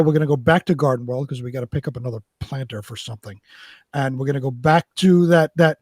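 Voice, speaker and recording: A man with a slightly high voice, recorded very dry, talks casually as a show co-host. He rambles through his stories, pausing and doubling back on his words. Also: a little mellow, no echo. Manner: rambling, halting storyteller. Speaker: co-host of a live online show. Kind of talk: casual chat.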